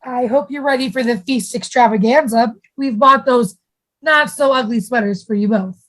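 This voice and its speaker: Actress as a gushing, pushy sitcom mom, higher-pitched. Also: female voice, normal speed, lightly acted, poor audio. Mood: gushing, cheerful. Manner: gushing, primly chiding. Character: saccharine, pushy sitcom mother obsessed with holiday cheer. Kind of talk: comedic radio play acting.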